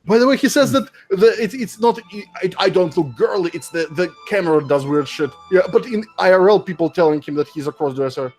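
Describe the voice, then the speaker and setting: A man with a notably high voice reads aloud in a mock-dramatic streamer style. His delivery is theatrical and giggly, and music plays softly behind him. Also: expressive, a little fast, hardly any pauses. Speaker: streamer reading a social media thread aloud. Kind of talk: mock-dramatic reading with amused asides. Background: background music.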